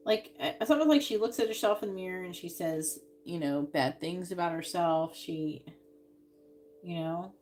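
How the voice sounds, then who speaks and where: A woman reading tarot cards on a live stream, musing out loud and trailing off with sighs. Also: fairly low pitch, moderate pace, a little hiss or hum. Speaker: tarot reader.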